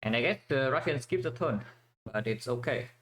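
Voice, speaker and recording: A man's voice: mid-range voice, lively intonation, moderate pace, fairly bright tone, a little hiss or hum. A man from Vietnam giving casual commentary while playing a strategy game, thinking aloud with many fillers and restarts.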